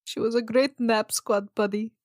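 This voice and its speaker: A woman's voice: fairly high pitch, fairly even intonation, normal speed, darkish tone, dry recording, clean studio sound. A woman improvising in character at a tabletop roleplaying game, casual, plaintive and mock-dramatic.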